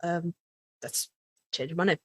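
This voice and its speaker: A woman's voice: on the low side, fairly even intonation, unhurried, slightly rough, no echo, clean studio sound. A British woman co-hosting a podcast in casual chat, informal and sweary. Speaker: podcast co-host.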